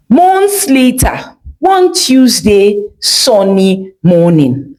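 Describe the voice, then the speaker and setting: A woman's voice: mid-range voice, a little mellow, low-quality recording. A woman narrating a folktale as a storyteller, with dramatic, emphatic phrasing.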